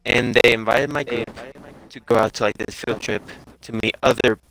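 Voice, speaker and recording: A young man telling a casual story in a halting, rambling way full of self-corrections, as a game streamer chatting. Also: mid-range voice, steady tone, gravelly, fairly bright tone, slight background noise, low-quality recording. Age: young adult. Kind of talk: casual storytelling over gameplay.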